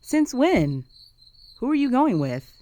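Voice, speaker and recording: A woman's voice: sits high, sing-song, slow pace, dark tone, full character voice. A young woman playing a jealous, flustered roommate with a secret crush in an intimate romantic audio roleplay, speaking in a hesitant, conversational way. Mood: puzzled, insistent. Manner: conversational, hesitant, sighing, emotionally exposed.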